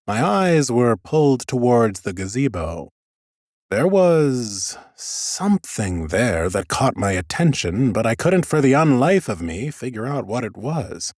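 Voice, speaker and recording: A man's voice: medium pitch, big pitch swings, a little slow, a little mellow, no echo. A man narrating scripted first-person fantasy audiobook prose with wry understatement, close on a studio mic. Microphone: close studio microphone.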